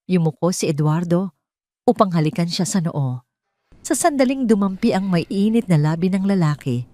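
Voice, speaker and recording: A woman's voice: on the low side, darkish tone. A woman with a Filipino accent narrating a scripted dramatic audio story as a storyteller.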